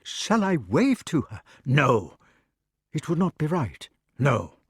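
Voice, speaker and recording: Man voicing an excitable old king. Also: slow, husky, no echo, studio quality, exaggerated voice. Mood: fretful. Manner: high-pitched, breathless, exclaiming.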